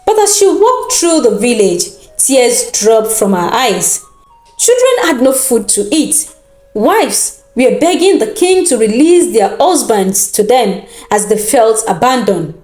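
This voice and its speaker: A woman with a Nigerian accent telling a scripted folktale in a dramatic, deliberate and expressive manner. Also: fairly high pitch. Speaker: storyteller. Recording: roomy echo with background music. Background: music.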